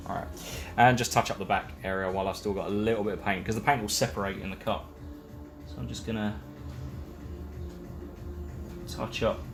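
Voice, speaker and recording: Man, fairly low voice, rambling casual live-stream chat, self-deprecating, faint music behind. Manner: rambling, self-deprecating, talks while working. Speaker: streamer building a case.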